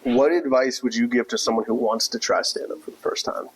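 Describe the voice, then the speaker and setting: A man hosting a casual podcast interview, relaxed and agreeable, dropping frequent yeahs and ums. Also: medium pitch, a little fast, husky, poor audio. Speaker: podcast host.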